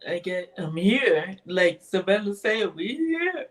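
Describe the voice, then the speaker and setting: A woman with a soft voice, speaking hesitantly in a casual interview about her own writing, with frequent laughter. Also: mid-range voice, sing-song, slow pace, background noise. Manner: hesitant, rambling, laughs often. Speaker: interviewee, author. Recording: voice from far off the mic.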